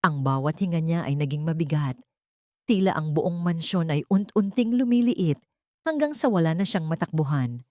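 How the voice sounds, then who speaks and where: A woman's voice: deep voice, animated, moderate pace, a little mellow, no room sound, clean studio sound. A woman storyteller reading a scripted romantic drama in a dramatic narrating style.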